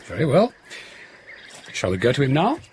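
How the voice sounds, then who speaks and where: An actor playing a gentlemanly, protective asylum doctor with polite, measured phrasing in an audio drama. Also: male voice, medium pitch, wildly swooping pitch, slight background noise. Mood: cautious, protective. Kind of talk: British radio drama acting.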